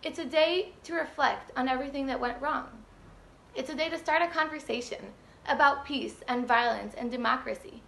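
High, creaky-voiced teenage female student. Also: normal speed, a bit bright. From Israel. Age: teens. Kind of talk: prepared personal reflection speech. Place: indoors in a home room.

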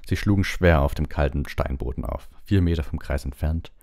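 A man's voice: a bass voice, fairly quick, a little mellow. A man reading a story aloud as scripted audiobook narration.

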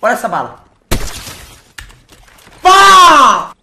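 A young man with a Brazilian Portuguese accent streams a game from his bedroom through a headset. His voice leaps up and down as he jokes and shouts boastful mock English. The room rings with echo under a faint hiss. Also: very high voice, huge pitch swings, very slow. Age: young adult. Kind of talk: live gameplay commentary. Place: bedroom with gaming chair.

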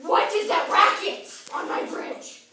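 A woman's voice: sits high, expressive, moderate pace, a bit bright, a little hiss or hum. A woman playing a mean, bossy old troll in a children's fairy-tale play, hollering rudely. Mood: bellowing, demanding. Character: mean, bad-tempered, hungry old troll. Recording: echoey room, far from mic.

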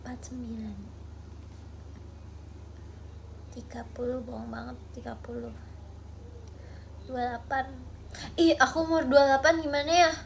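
A woman in her twenties chatting playfully on a late-night livestream from her bedroom, talking into a phone held at arm's length, sometimes dropping to soft murmurs. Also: high-pitched, fairly even intonation, moderate pace, gravelly, a little hiss or hum. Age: 20s. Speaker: idol livestreamer.

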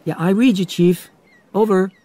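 A man's voice: high voice, moderate pace, dark tone, dry recording, pitched above the performer's own voice, lightly acted. A man voicing a dutiful, by-the-book policeman radioing in during an audiobook story. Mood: earnest, brisk. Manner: earnest, procedural. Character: dutiful, by-the-book young policeman on walkie-talkie.

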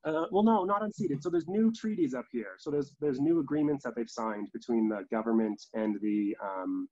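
A man's voice: mid-range voice, lively intonation, normal speed, darkish tone. Young Canadian man, slightly muffled call. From Calgary, Canada. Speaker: theatre artistic director and guest. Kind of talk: casual chat. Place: small room indoors. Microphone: laptop on video call. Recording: dull video call audio.

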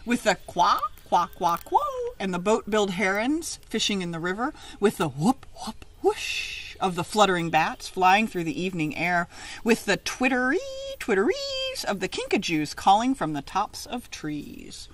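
A middle-aged woman reads a children's picture book aloud outdoors, with expressive, emphatic, sing-song phrasing. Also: medium pitch, moderate pace. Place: outdoors in a leafy garden.

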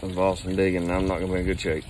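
A man talks into a phone held at arm's length, outdoors by a brick building. His voice sits a bit low, and his delivery is relaxed and rambling, full of fillers. Faint outdoor sounds and the odd bird call sit behind him. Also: very fast, background noise. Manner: easygoing, rambling talk with fillers. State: sore back from heavy lifting. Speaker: plumbing company owner. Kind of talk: casual selfie vlog.

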